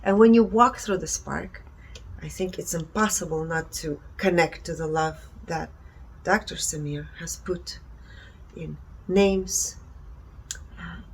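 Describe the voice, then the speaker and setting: Woman, lowish pitch, gravelly fry, earnest presenter, short emphatic phrases, slight background noise. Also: rough recording. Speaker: presenter reporting energy readings. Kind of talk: presentation reading out findings with personal commentary.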